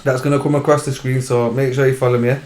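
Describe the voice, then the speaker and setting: A man's voice: mid-range voice, flat delivery, quick pace, a little mellow, echoey room. A man introducing himself in a laid-back, slangy way. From Sheffield, England. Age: 20s. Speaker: rapper, panelist. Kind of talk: casual self-introduction.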